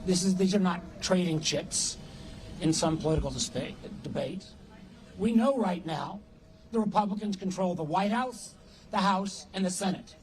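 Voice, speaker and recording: A man's voice: high voice, moderate pace. An American man giving a public political speech in emphatic, short phrases.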